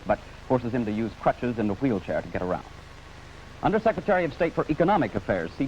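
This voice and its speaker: A man with an American accent anchoring a scripted newscast in a formal, measured announcer style. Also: medium pitch, darkish tone. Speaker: news anchor. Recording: dull 1950s broadcast, faint noise.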